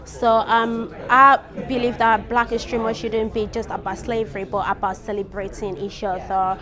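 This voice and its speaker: A woman's voice: on the high side, level tone, moderate pace, over music. A woman giving an informal interview answer as an ambulance service staff member. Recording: busy crowd chatter around. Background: crowd at a staff celebration event.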